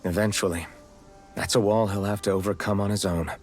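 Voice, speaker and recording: A man playing a low-voiced, earnest young hero. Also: steady tone, fairly quick, natural voice. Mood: concerned. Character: earnest, mild-mannered young male hero. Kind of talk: video game voice acting. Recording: studio voice over game music.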